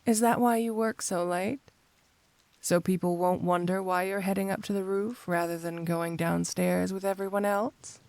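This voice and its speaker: A woman's voice: fairly low pitch, darkish tone, no room sound. A woman playing a flirty, smug supervillain cat burglar in an intimate ASMR roleplay, teasing and coaxing the listener with playful confidence.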